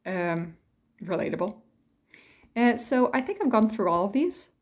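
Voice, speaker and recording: A woman's voice: medium pitch, expressive, unhurried, breathy edge. A woman vlogger talking casually and reflectively in a hesitant, rambling way, reading quotes aloud between her own thoughts.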